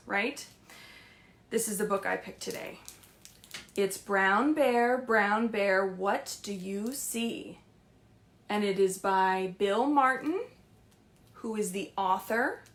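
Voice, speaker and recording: A woman with a mid-pitched voice leads a story time for young children in a roomy, echoey space. She sounds gentle and reassuring, putting things simply, as a children's librarian does on a livestream. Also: vocal fry.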